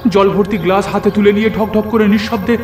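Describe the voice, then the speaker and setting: A man with a slightly high voice narrates a horror tale in the first person. His delivery is theatrical and suspenseful, with strong echo and music underneath. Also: steady tone, fast talker, a little mellow. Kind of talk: dramatic scripted horror-story narration.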